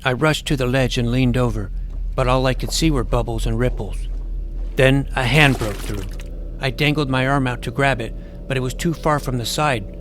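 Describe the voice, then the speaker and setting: A man playing an earnest, nerdy schoolboy superhero who narrates his own adventure in a deadpan first-person style. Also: mid-range voice, moderate pace, a little hiss or hum, lightly acted. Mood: earnest narrating. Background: music.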